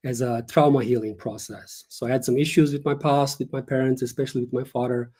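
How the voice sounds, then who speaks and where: A young man speaks over a video call as a guest author. His tone is mellow and dark. He talks in earnest and lays out each point with short pauses. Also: mid-range voice, steady tone. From Turkey. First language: Turkish. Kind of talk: casual interview over a live stream.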